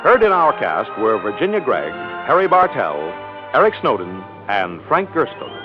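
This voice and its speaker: A man's voice: mid-range voice, wildly swooping pitch, normal speed. A man announcing the closing credits of a 1950s radio drama in a polished, emphatic style. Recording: lo-fi vintage radio broadcast with music.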